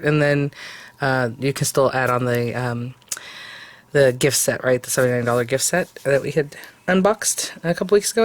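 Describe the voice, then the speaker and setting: Hoarse, rambling woman chatting on camera. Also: deep voice, dry recording. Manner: rambling, chatty, many fillers. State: hoarse, lost voice. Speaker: crafting channel host. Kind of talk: casual vlog chat.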